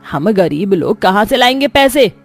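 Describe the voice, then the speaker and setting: A woman's voice: mid-range voice, big pitch swings, moderate pace, gravelly, soft background music. A woman playing a bossy, scolding grandmother of a poor family in a cartoon story, nagging and practical. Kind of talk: Hindi cartoon story acting.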